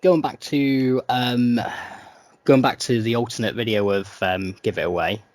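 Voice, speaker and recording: A man's voice: medium pitch, fairly even intonation, measured pace, a little mellow, no echo. Wry, joking man. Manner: wry, joking, mimics people in quotes. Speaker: podcast co-host. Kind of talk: casual chat about music.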